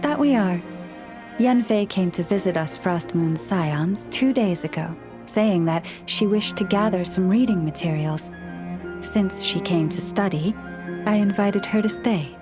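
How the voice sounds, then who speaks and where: A woman's voice: mid-range voice, lightly acted. A woman as a serene, gracious moon priestess. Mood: calm, apologetic. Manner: formal, measured, polite. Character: gentle, gracious young female moon priestess, serenely courteous. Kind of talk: video game voice acting. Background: background music.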